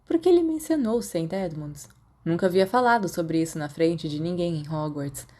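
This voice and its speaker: A woman's voice: lowish voice, lively intonation, moderate pace, mellow. A woman with a Brazilian accent reading a scripted story as an audiobook narrator. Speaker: audiobook narrator of fan fiction.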